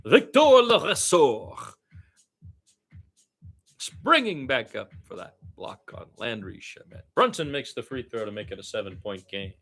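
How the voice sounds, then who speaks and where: A man calling basketball as a partisan radio play-by-play announcer, blunt and opinionated, animated in his delivery and repeating phrases for emphasis. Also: a little slow, creaky, faint music behind. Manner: animated, swinging from low asides to loud high calls.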